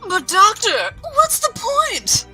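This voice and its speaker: A woman's voice: extremely high pitch, wildly swooping pitch, slow pace, sharp tone, faint music behind, voice pushed up, full character voice. A woman playing a skeptical assistant to a mad scientist in a comic book table read, questioning and doubtful.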